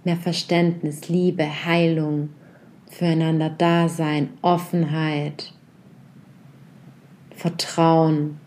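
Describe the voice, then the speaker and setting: Woman, low voice, warm and mellow, guided meditation, slow reflective questions. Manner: soft, unhurried, series of reflective questions; addresses the listener directly and gently.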